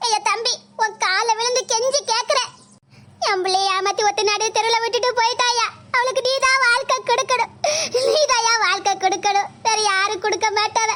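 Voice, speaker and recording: A woman's voice: very high voice, fairly even intonation, a little fast. A woman with a playing a scheming middle-aged village stepmother who wails, pleads and cries in melodramatic bursts. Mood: pleading. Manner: loud wailing, pleading, crying melodrama. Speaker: skit actor. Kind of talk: melodramatic village comedy skit.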